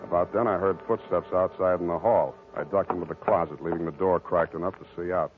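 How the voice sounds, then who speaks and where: An actor playing a wry, weary hard-boiled private detective, narrating and talking in an old-time radio drama. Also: male voice, low voice, a little fast, soft background music, natural voice. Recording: tinny old radio recording.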